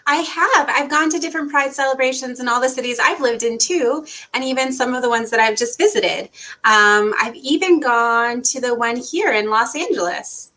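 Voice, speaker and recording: A woman's voice: high-pitched, moderate pace, pushed and bright. A woman co-hosting a children's read-aloud as a librarian, chatting in an eager, agreeable way. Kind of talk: children's storytime chat.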